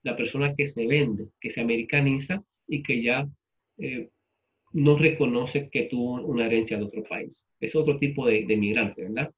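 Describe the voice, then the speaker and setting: A man's voice: medium pitch, normal speed, highs cut off. A man with a Puerto Rican accent teaching a literature class informally, explaining things in a conversational way with rhetorical check-ins. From Puerto Rico. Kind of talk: informal class lecture with personal anecdotes.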